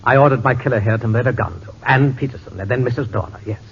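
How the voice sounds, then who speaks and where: A man's voice: mid-range voice, fast, natural voice. A man acting a cunning, domineering mad scientist with theatrical, imperious menace in 1940s radio style. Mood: gloating, menacing. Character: gloating male mad-scientist villain, cunning, domineering hypnotist. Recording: dull, noisy 1940s radio recording.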